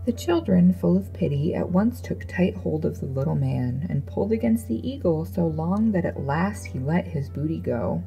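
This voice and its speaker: A woman storyteller reads a fairy tale from a script. Her voice sits on the low side, soft-edged and dark, with noticeable creak. Music plays behind her. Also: lively intonation, moderate pace, few pauses, low-quality recording.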